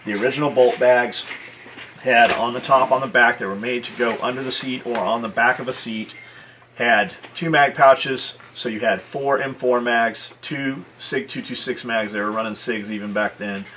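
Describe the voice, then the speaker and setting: A man's voice: medium pitch, fairly even intonation, pushed and bright. Blunt man hosting over noticeable background noise. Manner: blunt, profane, halting explanations. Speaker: host of a military gear video. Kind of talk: casual unscripted chat.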